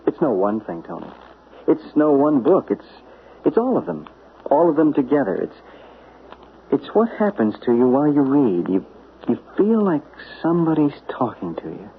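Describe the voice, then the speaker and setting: An earnest, gravelly literary agent on old radio. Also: male voice, mid-range voice, very animated, a little hiss or hum. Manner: earnest, soft-spoken, halting. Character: calm, newly peaceful male literary agent. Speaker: Dick Harrison, a literary agent. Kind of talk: 1970s radio drama acting. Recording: tinny, lo-fi old radio broadcast.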